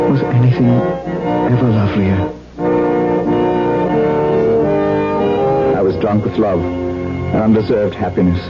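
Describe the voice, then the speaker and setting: A man's voice: fairly low pitch, close to an everyday voice. An actor playing a tormented, obsessed nobleman, telling his tale theatrically in a 1970s radio drama. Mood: feverish horror. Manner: theatrical, brooding, impassioned. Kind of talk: 1970s radio drama acting, first-person narration. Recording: dull vintage AM radio recording, music behind.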